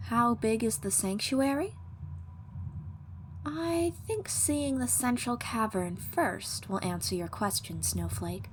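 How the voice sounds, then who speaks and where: A woman playing an elven ice sorceress, a gracious, formal and gently proud guide speaking unhurriedly to the listener in an audio roleplay. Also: on the high side, expressive, takes it slowly, noisy background. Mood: gentle, inviting. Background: music.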